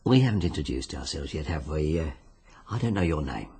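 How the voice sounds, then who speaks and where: A sly betting agent and struck-off lawyer in a radio play. His voice is low and rough, with lively swings as he probes and reassures. He draws his words out with wry, insinuating good humour. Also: heavily acted. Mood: sly, probing. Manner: insinuating, drawn-out, wryly jovial. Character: shady disbarred lawyer turned betting agent, smooth and sly. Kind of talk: 1940s-style British radio drama acting.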